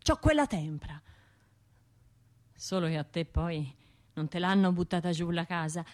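An actress with a Tuscan accent playing a wounded, self-reproaching bombing survivor in an intimate radio drama monologue, halting and colloquial. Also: female voice, on the low side, a little mellow, lightly acted. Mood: wistful remembering. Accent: Tuscan Italian. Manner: halting, colloquial, shifting between murmurs and outbursts.

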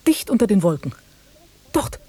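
An actress in a German radio drama playing an intimate, urging, uneasy woman biologist with an expressive delivery. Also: medium pitch, moderate pace, slightly rough, no room sound. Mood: tense.

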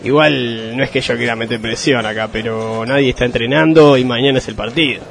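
A man acts out a cocky Argentine football hooligan boss for a radio comedy. He talks bluntly in a mid-pitched voice, sarcastic and bossy, always ready with a wisecrack. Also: steady tone, brisk, creaky, fairly bright tone. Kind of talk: comic radio sketch acting.

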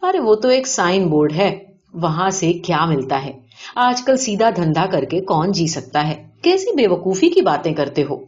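A woman's voice: on the low side, very animated, darkish tone. A woman reading the part of a rich, boastful male gambling-den boss in a story, showy, blunt and colloquial. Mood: scoffing. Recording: dull lo-fi audio.

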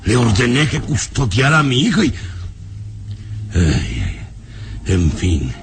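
An actor playing a sinister, irritable baron, imperious and contemptuous, in an old-time radio serial with music behind. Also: male voice, mid-range voice, huge pitch swings, slow pace, a little hiss or hum, exaggerated voice. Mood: brooding.

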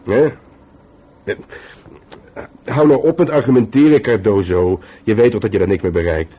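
A musing police detective in an intimate radio drama. His voice is low, dark and husky. He talks in a hesitant, wry way, as if thinking aloud. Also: moderate pace. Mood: brisk, friendly. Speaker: actor in a radio play. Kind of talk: Dutch radio drama dialogue.

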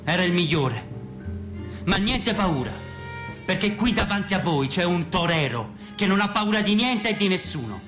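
An actor playing a solemn, grandiose orator in mourning, declaiming theatrically in a radio drama. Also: male voice, high-pitched, lively intonation, moderate pace. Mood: mock condolence, then bombastic. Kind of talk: Italian stage-style radio drama monologue. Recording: music playing behind the voice. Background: instrumental music.

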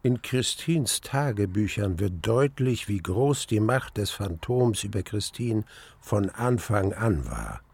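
Grave storyteller, rough creaky low-leaning voice, unhurried and deliberate, radio drama narration. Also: male voice, big pitch swings, a little mellow, no echo, exaggerated voice. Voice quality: gravelly, husky. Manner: measured, deliberate. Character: grave male narrator investigating a dark mystery.

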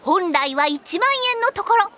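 A woman's voice: very high voice, expressive, measured pace, very noisy. A woman voicing a pushy teenage schoolgirl posing as a mystic fortune-teller in a comic anime drama, theatrical and pompous. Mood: haughty, flustered. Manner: theatrical, pompous, salesy, easily flustered. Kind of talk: Japanese anime comedy audio drama acting. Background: background music.

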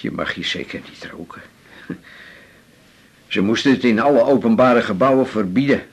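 An actor from the Netherlands playing a chatty, eager, smitten older patient in a Dutch radio drama, with a husky voice. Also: male voice, medium pitch, moderate pace, a little hiss or hum, lightly acted. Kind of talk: naturalistic radio drama dialogue.

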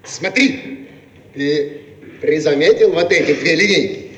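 An actor playing an excitable, idealistic inventor with impassioned, grandiloquent delivery in a radio play. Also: male voice, a little high, a little slow, recorded from a distance, a little hiss or hum. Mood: enthralled. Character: excitable idealistic male inventor of a time machine. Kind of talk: Soviet radio play acting. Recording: echoey archive radio recording, off-mic.

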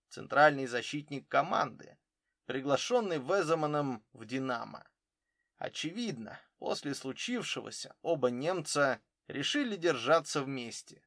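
A man's voice: a little high, a bit bright, no room sound, studio quality. A man reading a detective novel aloud as scripted audiobook narration.

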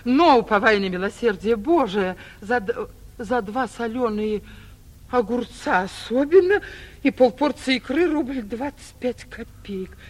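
An actress playing a vain, bossy, middle-aged mayor's wife, grand and flustered, in 1940s theatrical comedy style. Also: female voice, on the high side, animated, measured pace. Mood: puzzled, reading aloud. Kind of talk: 1940s theatrical stage comedy acting for radio.

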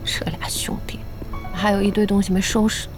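A woman's voice: mid-range voice, level tone, fairly quick, whispered, music in the background, close to an everyday voice, low-quality recording. An actress playing a spirited, chatty, blunt young woman who runs a shop. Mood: grumbling. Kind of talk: Chinese audio drama acting.

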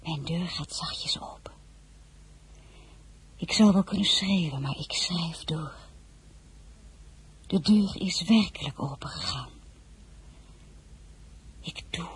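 A woman's voice: fairly low pitch, slow pace, long pauses, whispered. A woman playing a proud, candid young royal woman in a radio drama, speaking formally and with restraint. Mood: wistful. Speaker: lead actress and first-person narrator. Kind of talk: radio drama acting and narration.

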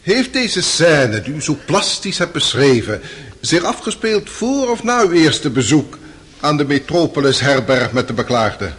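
High-voiced formal prosecutor. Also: male voice, sing-song, a little slow, fairly bright tone. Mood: formal, probing. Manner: formal, precise, pointed. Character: formal, probing courtroom prosecutor questioning a witness. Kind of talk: radio drama acting.

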